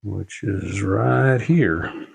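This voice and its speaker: Older male how-to presenter, creaky bass voice, plain-spoken and casual, halting delivery. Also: no echo. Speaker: presenter of a radio repair tutorial. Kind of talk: casual unscripted how-to demonstration.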